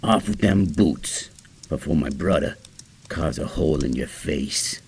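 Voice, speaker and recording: An actor playing a menacing young vagrant thug in an audio drama, gravelly and mock-friendly. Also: male voice, very deep voice, unhurried, slight background noise, full character voice. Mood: threatening. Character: menacing young male vagrant thug, sneering ringleader brother.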